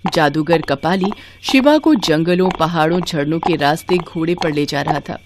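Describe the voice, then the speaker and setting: A woman's voice: low-pitched, moderate pace, darkish tone, slight background noise. A woman narrating a scripted children's story.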